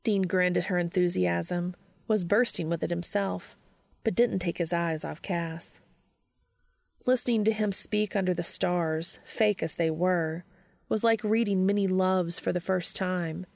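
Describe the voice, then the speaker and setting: A woman's voice: fairly low pitch, vocal fry, darkish tone, no room sound. A woman narrating a fiction audiobook, reading scripted prose.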